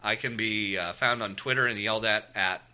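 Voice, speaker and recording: A man's voice: on the low side, normal speed, sharp tone, clean tone. A man co-hosting a casual podcast, rambling and self-deprecating, with lots of ums. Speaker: podcast co-host. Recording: muffled lo-fi podcast audio.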